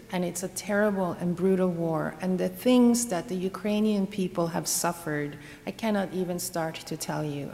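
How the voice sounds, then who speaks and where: A middle-aged woman from Norway speaks slowly and gently, with a low, gravelly voice. She tells homely stories with a dry, wry humor, as a guest speaker in church. Her voice carries a long hall reverb. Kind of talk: devotional talk.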